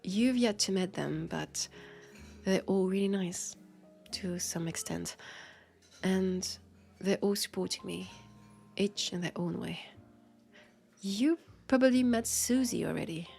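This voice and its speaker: A woman's voice: mid-range voice, slow, vocal fry, a little mellow, faint music behind. A woman with a French accent playing a guarded but friendly vampire police officer in an intimate audio drama, speaking hesitantly and wryly, as if confiding. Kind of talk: roleplay audio drama, intimate one-sided conversation.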